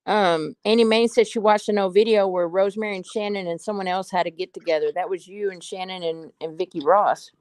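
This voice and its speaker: A woman in casual chat who passes along viewer comments. Her voice sits at a middle pitch, and she often pauses with ums. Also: fairly even intonation, a little mellow, no room sound. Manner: relays comments, halting with ums.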